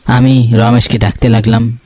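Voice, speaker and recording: Guy playing uneasy young photographer telling a spooky cartoon story, mid voice, soft music behind. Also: medium pitch, level tone, unhurried, no echo, lightly acted. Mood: uneasy, suspenseful. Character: nervous, curious young male photographer telling his ghost story. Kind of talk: first-person horror cartoon narration.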